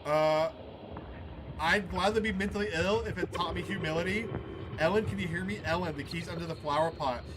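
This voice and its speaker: Male let's play host, slightly high voice, halting casual read-alouds and muttered reactions, clear noise behind him. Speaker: gaming video host. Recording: clear background noise under the voice.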